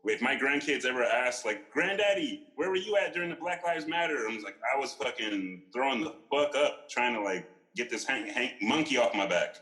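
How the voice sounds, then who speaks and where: Bright, forceful man telling joking stories. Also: mid-range voice, normal speed, hardly any pauses, low-quality recording. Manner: animated, slangy, self-deprecating. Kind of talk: casual joking chat, profane storytelling.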